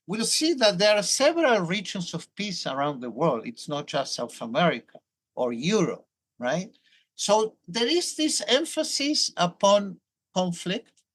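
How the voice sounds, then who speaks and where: Israeli-accented older professor, lively pitch. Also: male voice. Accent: Hebrew-accented English. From Argentina. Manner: thoughtful, explanatory, with restarts. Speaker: professor, guest. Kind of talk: academic interview.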